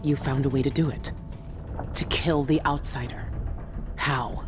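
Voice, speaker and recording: A woman's voice: very deep voice, fairly even intonation, a little slow, over music. An actress playing a tough, world-weary female assassin in a video game, dry, sardonic and terse. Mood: serious. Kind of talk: video game dialogue and inner monologue.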